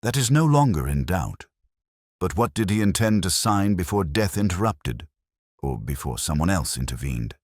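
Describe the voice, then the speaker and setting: A man voicing a keen, commanding Victorian detective in an audiobook, brisk, precise and authoritative. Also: low-pitched, sing-song, no echo, clean studio sound. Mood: pondering. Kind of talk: audiobook character dialogue.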